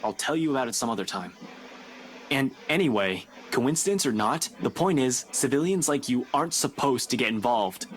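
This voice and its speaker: An actor playing a brusque, dismissive young police officer in a horror video game. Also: male voice, mid-range voice, lively intonation, moderate pace, natural voice. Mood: irritated. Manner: curt, dismissive, exasperated.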